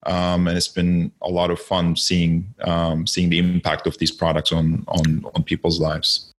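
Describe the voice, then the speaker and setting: A man's voice: sits low, monotone, measured pace. A man in his forties from Turkey, a startup founder telling his story as an interview guest, reflective and often correcting himself mid-sentence. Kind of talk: conversational interview.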